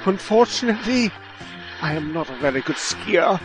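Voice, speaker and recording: An actor playing a pompous male detective, telling his exploits theatrically and deliberately in a comic radio play. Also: high voice, animated, music in the background. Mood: deadpan recounting.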